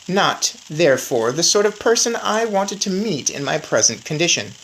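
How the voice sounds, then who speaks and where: Low-voiced, animated woman reading a story. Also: normal speed, a little hiss or hum, poor audio. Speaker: story reader. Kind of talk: expressive children's audiobook-style read-aloud.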